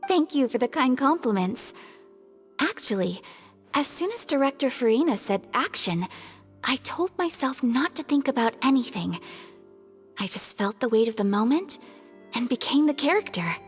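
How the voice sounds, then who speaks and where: A woman's voice: high voice, sing-song, moderate pace, soft background music. A woman playing a gracious, modest young swordswoman in a video game, speaking with refined, composed politeness.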